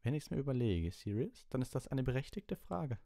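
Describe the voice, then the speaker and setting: Male narrator as reasonable professor, courteous, calm, expressive intonation, storybook reading, dry close recording. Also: mid-range voice, a little fast, vocal fry, dry recording, voice pushed up. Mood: thoughtful.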